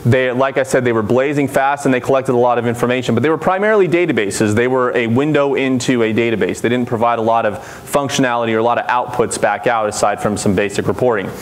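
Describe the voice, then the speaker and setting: A man with a loud, carrying voice gives a conference presentation, quizzing the audience and explaining terms. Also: mid-range voice, quick pace, hardly any pauses. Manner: energetic, engages the audience with questions. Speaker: conference speaker. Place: conference room.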